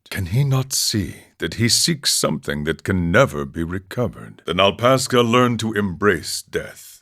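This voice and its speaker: A man voicing an older, serene and wry wandering ascetic monk in a video game, speaking in a solemn, measured, philosophical way. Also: deep voice, a bit bright, heavily acted. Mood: wry, musing. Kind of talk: scripted game character dialogue.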